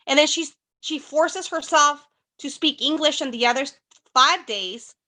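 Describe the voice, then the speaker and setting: High, bright woman. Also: unhurried, slight background noise. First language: Spanish. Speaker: co-host, social media manager. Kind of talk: casual advice discussion, reading questions.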